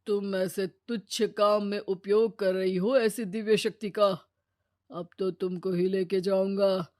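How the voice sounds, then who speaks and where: An unhurried, officious underworld messenger voiced by a woman for a cartoon tale. He is stern and sardonic, scolding in a deadpan, mid-pitched voice. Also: dry recording, studio quality, lightly acted. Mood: stern, mocking. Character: officious male death messenger, stern, sardonic, soft-hearted. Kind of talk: Hindi cartoon storytelling dub.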